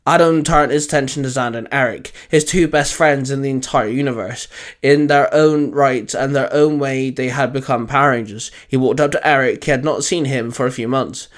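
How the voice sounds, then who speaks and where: A man hosting and narrating a fan fiction story in a dramatic, deliberate, emphatic scripted style. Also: mid-range voice. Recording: music under the voice. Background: music.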